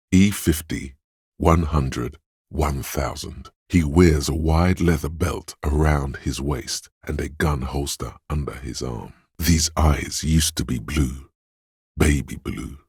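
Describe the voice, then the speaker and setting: An actor playing a man's calm, wry inner sense of perception in a game, calmly pointing out details and addressing the listener as "you". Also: extremely low pitch, slightly rough, no room sound, studio quality, full character voice. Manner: measured, noticing details in second person. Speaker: voice actor for a game's inner skill voice. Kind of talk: scripted game voice lines.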